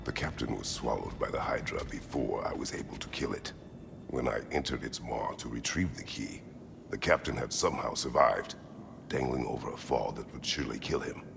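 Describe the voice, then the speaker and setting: A man voicing a grave, terse middle-aged warrior haunted by past cruelty, with a deep, gravelly, breathy rumble, in a video game. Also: full character voice. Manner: terse, solemn, measured. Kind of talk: dramatic video game voice acting.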